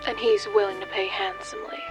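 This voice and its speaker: A woman's voice: mid-range voice, big pitch swings, quick pace, music in the background, close to an everyday voice. A woman playing a mocking, teasing femme fatale in a comic noir radio play. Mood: sly, sardonic. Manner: teasing, sardonic, theatrical.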